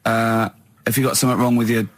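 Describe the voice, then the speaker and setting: Husky-voiced, joking Englishman on radio. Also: medium pitch, level tone, quick pace. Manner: teasing, jokey, often laughing. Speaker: radio show host. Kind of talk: casual comedic radio chat.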